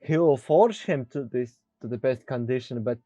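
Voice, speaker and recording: Male gamer, casual commentary, dark mellow tone, dry close mic, dull recording. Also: medium pitch, normal speed, no echo, faint music behind.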